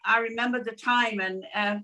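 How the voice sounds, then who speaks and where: Older English female co-host, sharp bright tone, earnest panel conversation, picked up from a little distance. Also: on the high side, steady tone, fairly quick. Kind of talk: casual panel chat. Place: room at home, indoors. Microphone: video call. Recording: distant mic on video call.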